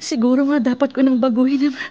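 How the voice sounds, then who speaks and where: High-pitched Filipina radio drama heroine, acted by a woman. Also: fairly even intonation, fast, warm tone, highs cut off, close to an everyday voice. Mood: remorseful. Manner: emotive, pleading. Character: frightened superstitious older sister, tearful, earnest.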